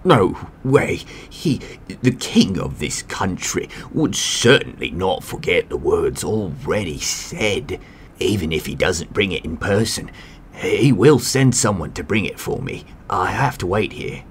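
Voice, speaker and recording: Man playing a low-voiced, humble old beggar. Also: very animated, measured pace, slight background noise, heavily acted. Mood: anxious hope. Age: older. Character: poor, humble old male beggar, trusting and stubborn. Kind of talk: animated fairy tale voice acting. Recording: music under the voice.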